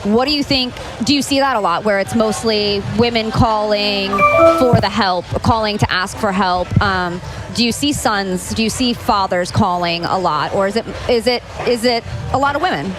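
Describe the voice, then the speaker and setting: A woman's voice: medium pitch, normal speed, rough recording. An American woman chatting informally and asking questions as a podcast host. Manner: informal, inquisitive. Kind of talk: casual chat. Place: live podcast at a restaurant. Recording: music behind the talk. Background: music.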